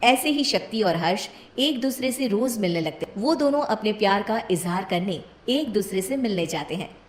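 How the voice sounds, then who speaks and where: A woman's voice: medium pitch, animated, normal speed, echoey room, soft background music. A woman reading a scripted cartoon moral story as its narrator.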